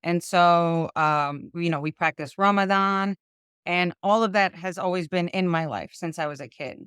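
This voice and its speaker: Smooth-voiced young woman, chatty interview guest. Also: fairly low pitch, moderate pace, fairly bright tone, dry recording, clean studio sound. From Chicago, United States. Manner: chatty, full of "um" and "like" fillers. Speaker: guest, lawyer. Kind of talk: casual interview conversation.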